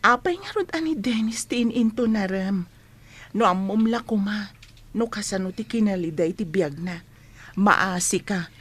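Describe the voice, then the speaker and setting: An actress with an Ilocano accent playing a sensible, kind young student friend in a radio drama. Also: female voice, medium pitch, lively intonation, normal speed, breathy edge. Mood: earnest.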